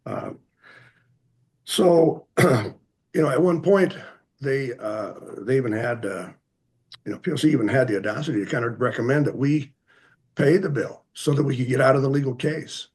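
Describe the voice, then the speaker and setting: An American man giving halting, plain-spoken public comment at a hearing, speaking earnestly and hesitantly. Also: mid-range voice, darkish tone, husky. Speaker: member of the public addressing a commission.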